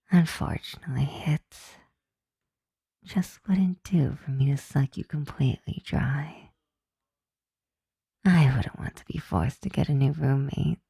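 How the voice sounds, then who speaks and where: A woman playing a flirty, softly dominant, affectionate vampire roommate in an intimate ASMR roleplay, breathy and often whispering, teasing and tender. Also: sits low, no room sound, heavily acted. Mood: teasing, sultry.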